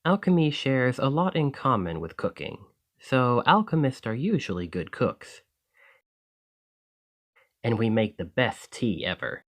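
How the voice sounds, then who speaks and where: A man playing a friendly, excitable village alchemist in an ASMR roleplay, chatting eagerly to the listener in a slightly flustered, rambling way. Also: medium pitch, a little slow, long pauses. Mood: flustered, cheerful.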